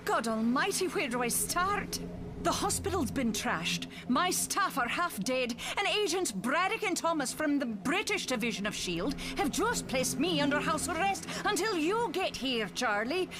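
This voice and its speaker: A woman playing a distraught, sharp-tongued mother and doctor in a motion comic, agitated and exasperated, over noise. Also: sits high, sing-song, moderate pace. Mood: panicked. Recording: clearly noisy background.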